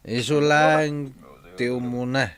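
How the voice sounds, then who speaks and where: A man's voice: medium pitch, fairly even intonation, slow, crowd noise, close to an everyday voice. Man acting a heartbroken young Filipino jeepney driver. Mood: drunk, bitter. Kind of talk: radio drama acting.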